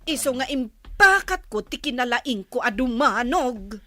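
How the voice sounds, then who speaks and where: A woman's voice: fairly high pitch, animated, unhurried, fairly bright tone. An actress playing a stern, hard-working wife and mother in an Ilocano radio drama, with an emphatic, nagging way of talking. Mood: angry, scolding.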